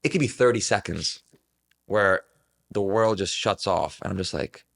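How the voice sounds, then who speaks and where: A man's voice: low-pitched, moderate pace, creaky, a bit bright. A man in his twenties talking casually and reflectively as a podcast guest, earnest and rambling, with plenty of filler words. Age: 20s.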